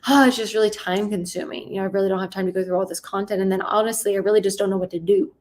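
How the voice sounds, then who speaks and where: A woman voicing a hesitant female sales prospect who is short on time and talks in a hurried, filler-heavy way. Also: medium pitch, steady tone, darkish tone, natural voice. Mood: unsure.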